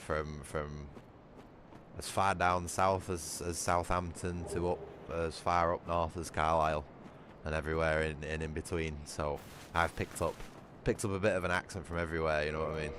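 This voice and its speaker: A man's voice: extremely low pitch, little pitch movement, moderate pace, a bit bright, slight background noise. A man with a Manchester accent chatting bluntly and swearing while streaming a game. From England. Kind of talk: casual livestream gaming chat.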